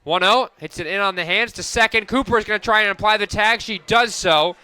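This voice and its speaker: Bright, clear male softball play-by-play announcer. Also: high-pitched, fairly quick, dry recording. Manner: descriptive, crisply narrating each play. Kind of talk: live softball radio commentary.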